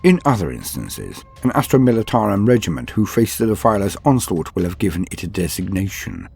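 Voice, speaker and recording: Low, husky male dark-fantasy narrator. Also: sing-song, a little fast. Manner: grave, formal, ominous storytelling. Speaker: narrator of a fantasy war-game lore guide. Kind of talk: scripted dramatic lore narration. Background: music.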